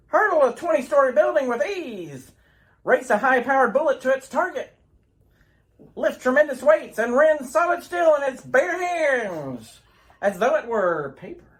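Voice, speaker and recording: A woman's voice: sits high, sing-song, normal speed. A woman narrating a scripted old-time radio serial with a theatrical, dramatic announcer delivery.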